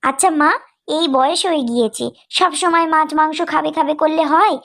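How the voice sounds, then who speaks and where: A woman's voice: high-pitched, fairly even intonation, fairly bright tone. A woman voicing a stingy young village daughter-in-law in a cartoon family drama, curt, fussy and complaining. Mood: scolding. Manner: complaining, brisk, penny-pinching fussiness.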